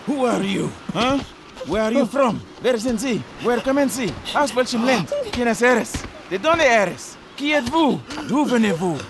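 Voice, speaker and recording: A man's voice: very high voice, lively intonation, measured pace, background noise, exaggerated voice. A man playing an agitated pirate lieutenant in an audio drama, urgent and demanding, interrogating captives.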